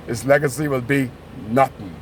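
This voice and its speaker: A man's voice: medium pitch, normal speed. Casting: Bahamian male local resident, mid-range voice, emphatic and indignant speaking out, recorded outdoors with noise behind. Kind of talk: impassioned political commentary to camera. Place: outdoors at a graveyard.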